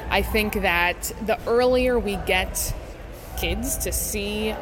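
Clear background noise sits behind a woman with a mid-pitched voice. She is an articulate sports broadcaster giving earnest, thoughtful interview answers. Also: takes it slowly.

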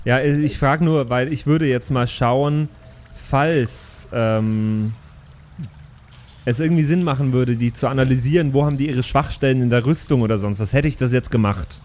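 Casual male tabletop player, medium pitch. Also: moderate pace, darkish tone. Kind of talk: casual improvised game chat.

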